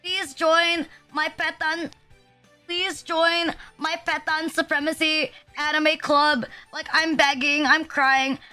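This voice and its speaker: Bright, high-voiced young woman streamer. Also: little pitch movement, takes it slowly, soft background music. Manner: rambling, casually profane, self-deprecating. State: sniffly, stuffed nose. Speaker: VTuber streamer. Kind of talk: casual livestream chat.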